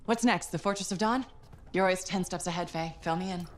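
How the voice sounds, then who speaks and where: An actress playing a level-headed, loyal team leader in a video game, speaking in a direct, dry, reassuring way. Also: female voice, fairly low pitch, fairly quick, lightly acted. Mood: focused. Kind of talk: video game cutscene acting.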